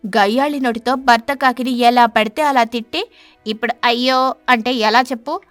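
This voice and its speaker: A woman voicing a stern, stingy stork husband who berates and lectures in an animated bird story. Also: a little high, dry recording, lightly acted. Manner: berating, sharp-tongued, lecturing.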